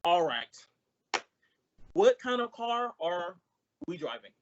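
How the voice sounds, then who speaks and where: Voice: medium pitch, very animated, takes it slowly, highs cut off, slight background noise. A performer playing a bumbling, easily confused male sidekick in an old-time radio comedy, full of wisecracking retorts. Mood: baffled.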